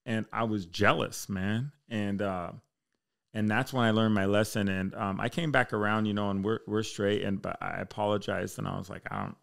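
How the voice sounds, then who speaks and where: A man's voice: on the low side, fairly even intonation, normal speed, creaky, a bit bright. An American man chatting casually and candidly as a podcast guest, with slangy, bro-heavy speech. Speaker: podcast guest, military fire chief.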